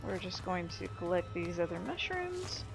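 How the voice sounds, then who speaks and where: A woman's voice: fairly low pitch, sing-song, moderate pace. A woman giving casual video game commentary, with sarcastic asides. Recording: game music clearly behind the voice. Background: video game music.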